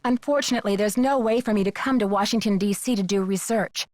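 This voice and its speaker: A woman playing a polite, earnest and determined young detective in a video game, with an American accent and clear delivery. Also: medium pitch, fast, a little mellow, dry recording, studio quality, lightly acted. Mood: polite, eager.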